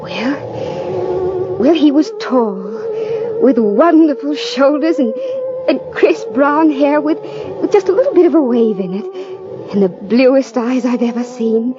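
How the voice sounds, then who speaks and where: Music plays behind a dull old radio drama recording of an unhappy young wife. Her voice is on the high side for a woman, earnest and hesitant, with a wistful, pleading delivery. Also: expressive, slow. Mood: dreamy, wistful. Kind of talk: old-time radio melodrama acting.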